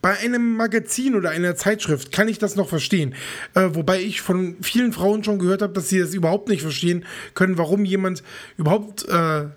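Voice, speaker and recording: Podcast co-host, man, high voice, hoarse and nasal, rambling crude jokes. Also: brisk. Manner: joking, rambling, crude humor. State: hoarse and stuffed nose. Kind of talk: casual chat.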